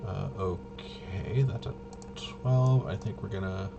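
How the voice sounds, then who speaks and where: A man with a fairly low voice talks through a tabletop game in a casual, improvised way. He is halting and often mutters to himself as he thinks aloud. The recording has clear background noise. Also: dull audio. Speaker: game master demonstrating a tabletop RPG. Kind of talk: casual improvised narration of solo tabletop gameplay.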